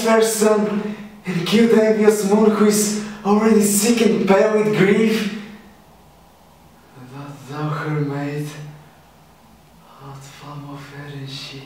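A man's voice: sits high, darkish tone, pitched above the performer's own voice. A young man playing a lovestruck, impulsive youth in a theatrical Shakespearean stage monologue. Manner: theatrical, slow, declamatory. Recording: very echoey room, distant microphone, hiss.

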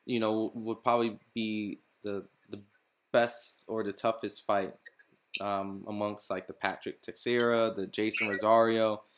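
A man's voice: lowish voice, flat delivery, a little slow, a little hiss or hum. A man interviewing a boxer, asking long questions with many restarts and fillers. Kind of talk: casual interview.

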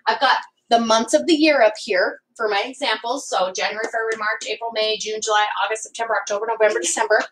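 Hairdresser lady in her 40s teaching at a whiteboard, bit high voice, keeps going "okay?", sounds a bit far off. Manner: emphatic, ends points with "okay?". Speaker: hairdresser and salon owner. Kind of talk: whiteboard business talk to camera. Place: home room with bookshelf and whiteboard. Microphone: camera across the room. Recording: voice from a distance.

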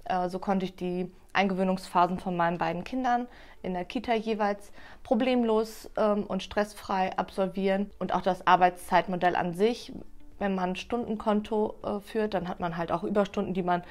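A woman's voice: medium pitch, fairly even intonation, normal speed, a little mellow. Woman, 30s, employee, friendly, fluent, corporate interview, office. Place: bright office with plants.

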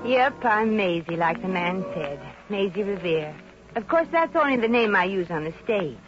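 A woman's voice: mid-range voice, expressive, moderate pace, over loud music, lightly acted. An actress playing a plucky, wisecracking, broke showgirl in a 1950s radio comedy, with a brassy, wry, slangy way of talking. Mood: wry storytelling. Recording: dull, noisy 1950 radio recording.

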